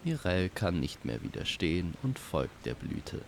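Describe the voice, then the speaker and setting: A man reads a fantasy tale as a measured storyteller. His voice is low and creaky, and he takes his time with each phrase. Rain is clearly audible behind him. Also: big pitch swings, a little hiss or hum. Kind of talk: scripted fantasy story narration.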